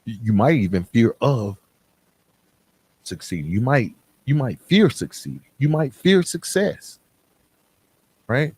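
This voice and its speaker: A man's voice: medium pitch, lively intonation, measured pace, long pauses, darkish tone, breathy edge. A middle-aged man from Atlanta, hosting a motivational podcast and speaking earnestly and conversationally straight to the listener. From Atlanta, United States. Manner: earnest, conversational, with rhetorical questions and check-ins. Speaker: podcast host. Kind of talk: motivational talk addressed to the listener.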